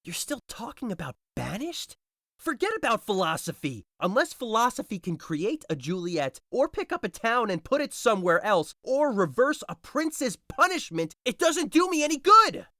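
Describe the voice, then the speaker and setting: A bitter, lovesick young man played by a male actor pours out despair in a modern Shakespeare audio play. The delivery is melodramatic, swinging widely in pitch, with a dry close sound. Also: very animated, moderate pace, bright, forceful tone, no room sound, heavily acted. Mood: anguished, indignant. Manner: melodramatic, anguished, declamatory.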